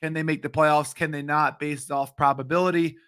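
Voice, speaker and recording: A man in his late twenties hosting a sports betting stream, talking casually with many ums, his voice a bit hoarse. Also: a little high, little pitch movement, moderate pace, a bit bright, no echo, clean studio sound. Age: late 20s. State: slightly hoarse voice. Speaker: sports betting show host. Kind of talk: live-streamed betting picks talk.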